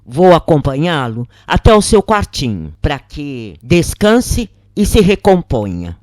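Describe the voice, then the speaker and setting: A narrator voicing a brisk, commanding male spirit guide who gives instructions in a story. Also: medium pitch, big pitch swings, darkish tone, no room sound, clean studio sound. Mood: explanatory.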